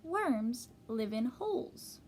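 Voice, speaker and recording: A woman teacher reading a children's storybook aloud, pausing to explain and ask young listeners questions. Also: a little high, very animated, a little mellow, a little hiss or hum.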